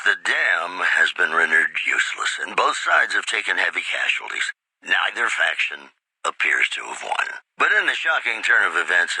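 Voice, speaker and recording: A silky radio crooner from a game's wasteland, bright and forward in tone and lively in pitch. He flatters his listeners with velvety charm, close to a dry studio mic. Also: breathy edge, dry recording, heavily acted, rough recording. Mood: newsy. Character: smooth, flirtatious male radio DJ of the wasteland. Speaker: radio host and newsreader. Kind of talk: crooning radio announcer lines.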